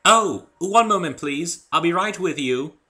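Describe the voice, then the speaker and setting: A man's voice: a little high, expressive, a little slow, sharp tone, voice pushed up. A man voicing an elegant, fussy female unicorn fashionista with a refined English accent, dramatic and gushing, in a story reading. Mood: polite, welcoming. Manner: dramatic, effusive, addresses people as darling.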